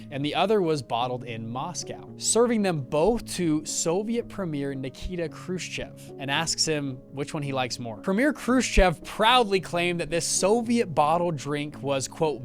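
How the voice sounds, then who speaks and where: A young man, a show host, telling a scripted business story with upbeat, jokey asides. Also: on the high side. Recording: light background music.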